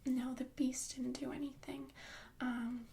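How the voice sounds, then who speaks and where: Soft whispery fairy tale girl, a woman doing her, chatty and reassuring, pretty even tone. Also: high-pitched, a little slow, gravelly. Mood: sombre. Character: young bookish fairy-tale heroine, gentle and kind. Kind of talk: whispered ASMR roleplay.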